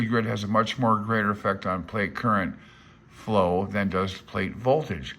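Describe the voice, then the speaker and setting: A man's voice: lowish voice, bright, forceful tone. A man in his fifties giving an informal read-along tutorial, thinking aloud in a hesitant, self-deprecating way. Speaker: amateur radio hobbyist explaining a handbook.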